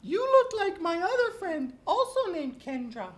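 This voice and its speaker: Silly puppet-show character: high squeaky voice, bouncy swings in pitch, roomy recording with background noise. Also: female voice, very animated, measured pace, heavily acted. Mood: giddy.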